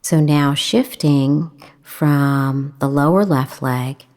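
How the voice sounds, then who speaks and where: Female meditation guide: deep-set register, very unhurried pace, gentle measured delivery with generous pauses. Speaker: meditation instructor. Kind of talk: guided meditation, calm instruction.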